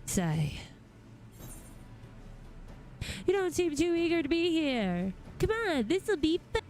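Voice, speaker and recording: A woman's voice: very high voice, sing-song, measured pace, darkish tone, pitched above the performer's own voice, heavily acted. A woman voicing a genteel, theatrical goldsmith lord from a fantasy game, with formal, flowery and precise diction. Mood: jovial. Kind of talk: reading fantasy game dialogue aloud.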